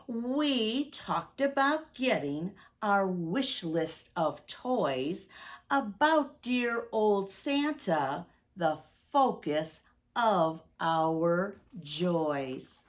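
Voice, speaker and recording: A woman, speaking deliberately and expressively to young children as a story-time teacher. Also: medium pitch, animated. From United States, Midwest.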